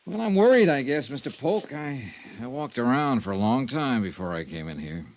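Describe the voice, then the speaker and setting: An actor playing a steady, probing insurance investigator in a 1950s radio detective drama, with terse, measured questions. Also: male voice, medium pitch. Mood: worried, earnest. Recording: dull vintage radio recording.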